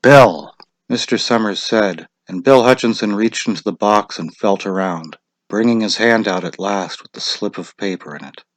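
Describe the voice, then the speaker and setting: A man's voice: lowish voice, moderate pace, no echo. A man narrating a short story as a scripted dramatic reading.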